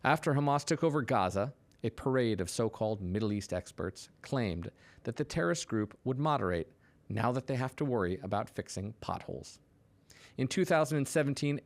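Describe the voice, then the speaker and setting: Male political commentator, lowish register. Indignant, punchy scripted delivery with dramatic pauses. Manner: emphatic, punchy, dramatic pauses between short phrases.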